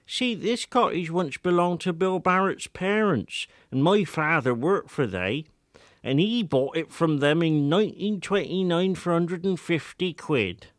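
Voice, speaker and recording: Stubborn old Wiltshire villager, pitched up. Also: male voice, expressive, a little slow, dry recording, clean studio sound. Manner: rustic dialect, boastful. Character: obstinate very old male villager, rustic storyteller. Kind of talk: dramatised audiobook character acting.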